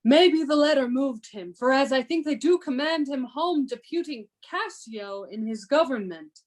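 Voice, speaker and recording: Actress as noble envoy: high voice, formal, dignified courtesy, Shakespearean radio play. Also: female voice, expressive, moderate pace, darkish tone. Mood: courteous. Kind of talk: Shakespearean radio drama acting.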